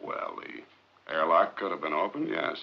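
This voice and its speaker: An actor playing a blunt, guarded male rocket scientist, curt and measured in 1950s film style. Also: low voice, sing-song, gravelly, full character voice. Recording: dull, muffled 1950s film soundtrack.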